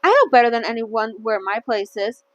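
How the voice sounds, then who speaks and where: An earnest, polite young-sounding hero from a fantasy storybook, voiced by a woman reader. The voice is high and sits dry and close. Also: big pitch swings, a little fast, dry recording, muffled recording.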